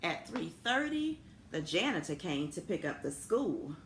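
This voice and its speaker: Woman, storybook reader for children, unhurried and lively pitch, roomy sound with some noise behind. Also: vocal fry. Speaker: school principal reading a picture book to students. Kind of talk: expressive children's storybook reading. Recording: echoey room with noticeable background noise.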